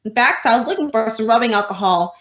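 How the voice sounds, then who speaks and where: A woman acts out a quick-witted female schoolteacher in an old-time radio comedy. Her delivery is dry and deadpan, her voice a little high. It sounds echoey and slightly dull. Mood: soothing. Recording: roomy, dull lo-fi recording.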